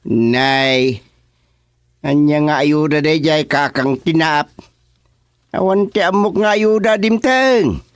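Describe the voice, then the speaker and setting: A man's voice: fairly high pitch, slow pace, long pauses, smooth, no echo, studio quality. A man playing a flustered, put-upon village official in a rural comedy radio drama, stammering and exasperated. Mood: defensive.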